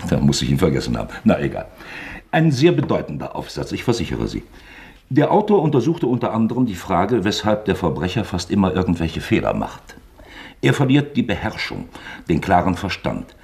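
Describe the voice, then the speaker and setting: An actor playing a sly, falsely genial investigator in a German radio play, mock-forgetful and probing with creaky politeness. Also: male voice, sits low, very animated, normal speed, a little mellow, exaggerated voice. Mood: feigned geniality, probing.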